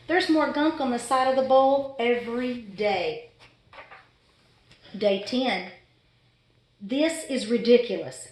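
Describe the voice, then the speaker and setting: A woman voicing an exasperated, fussy pet goldfish in a dramatic children's storybook read-aloud. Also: a little high. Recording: echoey room.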